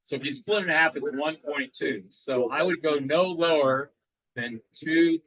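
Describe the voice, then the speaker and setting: A man's voice: fairly high pitch, normal speed, no room sound, lo-fi sound. A man giving a live demo at an amateur radio club meeting, rambling with frequent restarts and quizzing the audience.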